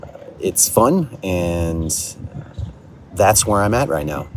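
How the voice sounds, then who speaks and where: A man's voice: deep voice, very animated, takes it slowly. Man's casual video diary, noisy location sound. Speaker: audio drama writer and creator. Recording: noisy location recording with vehicle and ambient noise.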